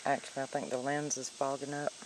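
A man's voice: mid-range voice, level tone, fast talker. A man chatting casually outdoors while filming a home video. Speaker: home video camera operator. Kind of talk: casual chat behind camera. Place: outdoors in a yard by a house. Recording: faint outdoor camcorder noise.

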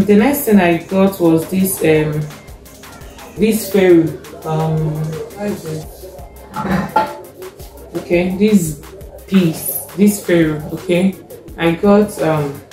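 A young Nigerian woman with a Nigerian accent, casually showing her grocery haul, taking her time, checking a receipt and often pausing with fillers. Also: on the low side, dark tone. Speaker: vlogger. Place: home kitchen, indoors. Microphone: fixed camera in front. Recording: echoey kitchen, faint music.

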